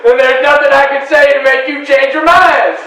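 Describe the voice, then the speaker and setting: An actor playing a defiant frontier marshal in a radio western, declaiming forcefully as he faces down a mob. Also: very high-pitched, fairly even intonation, moderate pace, heavy reverb, recorded from a distance, faint music behind, poor audio. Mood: stern warning. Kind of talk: old-time radio western drama acting.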